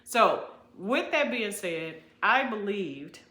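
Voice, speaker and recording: A middle-aged woman talks straight to her viewers about hair care in a voice of ordinary pitch, leaning hard on key phrases and saying them again. The sound bounces around an echoey room. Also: very animated, slow, bright, forceful tone. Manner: emphatic, preachy, repeats key phrases; addresses viewers as y'all and you guys. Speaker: haircare specialist. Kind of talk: direct-to-camera advice talk.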